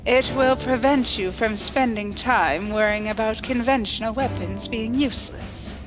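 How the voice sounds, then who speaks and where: Woman playing a high-voiced, coolly logical starship ensign. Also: measured pace, natural voice, low-quality recording. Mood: formal, cool. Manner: precise, formal, unemotional. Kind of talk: sci-fi audio drama acting. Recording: music under the dialogue.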